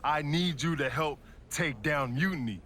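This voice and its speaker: A man's voice: a little high, moderate pace, pushed and bright, slight background noise. A man playing an earnest American wrestler pleading with his brother in slangy video game dialogue.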